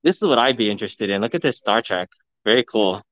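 Excited man touring a pinball showroom. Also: on the low side, fast talker, dry recording, dull audio. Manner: excited, enthusiastic, addressing viewers. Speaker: vlogger, live streamer. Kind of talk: live walkthrough commentary. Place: large indoor arcade showroom. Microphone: phone camera.

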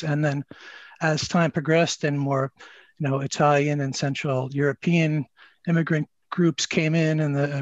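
A man speaking as a historian on a panel, explaining in a halting, thoughtful, scholarly way over a video call. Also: a little high, no room sound. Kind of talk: unscripted panel discussion, explanatory. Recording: dull, lo-fi video-call audio.

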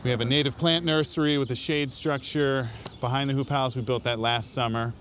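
A man in his thirties talks about his school farm in a relaxed, explanatory way, his voice at an ordinary middle pitch. He is recorded outdoors, with a clear hiss of ambient noise behind him. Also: brisk. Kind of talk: interview.

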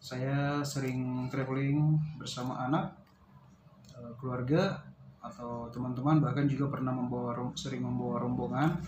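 A man's voice: mid-range voice, steady tone. A man, explaining casually and hesitantly, as in an unboxing vlog. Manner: hesitant, pausing to search for words. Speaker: vlogger reviewing a product. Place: small bare-walled room indoors. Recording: roomy echo, faint background noise.

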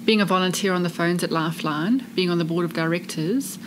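A South African woman with a soft English-speaking South African accent talks through her career in a relaxed interview. Her voice sits on the low side, and a steady hiss of noise runs under the recording. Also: fairly quick. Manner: warm, earnest, expansive storytelling. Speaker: charity CEO, guest. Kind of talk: casual interview chat.

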